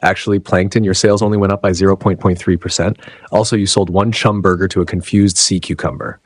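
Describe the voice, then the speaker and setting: A man's voice: sits low, level tone, fast. A man voicing a matter-of-fact, deflating female cartoon computer in an audio drama.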